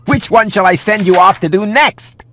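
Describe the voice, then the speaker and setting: A man's voice: high-pitched, moderate pace, bright, forceful tone, a little hiss or hum. A man voicing a fussy, sardonic house cat in a cartoon video game, with a wry, grumbling manner. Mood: bossy, wry.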